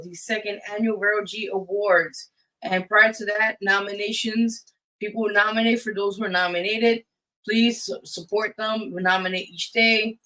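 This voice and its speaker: Bright-voiced woman hosting a live radio show. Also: mid-range voice, rough recording. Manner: informal, addressing listeners as guys. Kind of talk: casual live show announcements.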